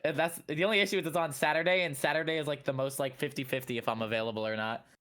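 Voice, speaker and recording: A man's voice: a little high, steady tone, very fast, fairly bright tone. A man in casual banter as an esports commentator, slangy and teasing with his friends.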